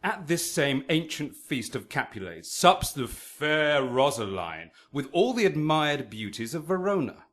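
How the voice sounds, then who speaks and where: An actor playing an earnest, friendly young noble in a Shakespearean radio drama. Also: male voice, on the high side, big pitch swings, unhurried, few pauses, fairly bright tone. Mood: friendly, coaxing. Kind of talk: classical Shakespearean radio acting.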